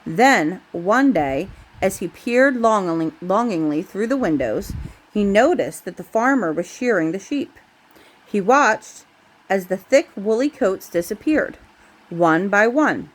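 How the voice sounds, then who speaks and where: A woman's voice: medium pitch, lively intonation, a little slow, rough recording. A woman reading a bedtime story aloud to a child, with casual asides along the way.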